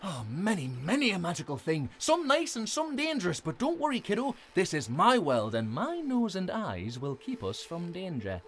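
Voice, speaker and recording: Cheeky, swooping talking fox. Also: female voice, lowish voice, huge pitch swings, moderate pace, hardly any pauses, slight background noise. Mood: breezy, reassuring. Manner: teasing, chatty, sardonic. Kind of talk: family audio drama acting.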